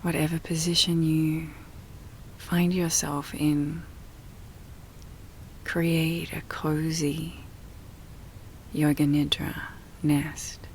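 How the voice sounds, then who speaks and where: A woman guiding a relaxation meditation in a soft, breathy, often near-whispered voice, soothing and full of long pauses. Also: sits low, flat delivery, a little hiss or hum. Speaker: yoga nidra meditation guide.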